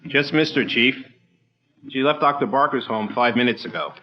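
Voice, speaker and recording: An actor playing an eager, loyal assistant who speaks brisk and plain in a 1940s-style radio drama. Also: male voice, mid-range voice, muffled recording, natural voice. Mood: earnest.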